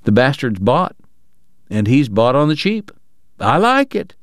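A man's voice: mid-range voice, big pitch swings, unhurried, no echo, studio quality. A man voicing a crude, irritable frontier town official who speaks in a blunt, coarse way. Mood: gruff.

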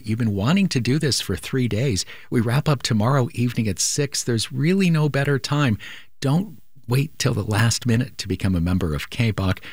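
A man's voice: mid-range voice, a little mellow, no echo, clean studio sound. A male radio host making an earnest, persuasive appeal during a pledge drive.

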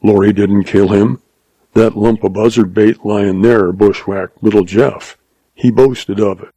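A man voicing a young cowboy hero who speaks quietly in a western story. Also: fairly even intonation, unhurried, husky, no room sound. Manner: quiet, low.

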